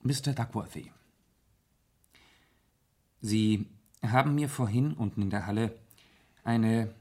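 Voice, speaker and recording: Aristocratic detective, composed, polite, low pitch, husky, 1970s German radio play. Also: male voice, slow pace, darkish tone. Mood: serious, probing. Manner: polite, unruffled, matter-of-fact.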